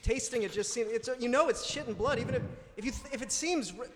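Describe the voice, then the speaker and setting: In a noisy, reverberant recording, a man acts out a prim husband in a radio comedy. The high voice is anxious and skeptical, stammering through wordy objections. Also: fast. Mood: appalled. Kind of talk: improvised comedy radio play. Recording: very echoey room, clear background noise.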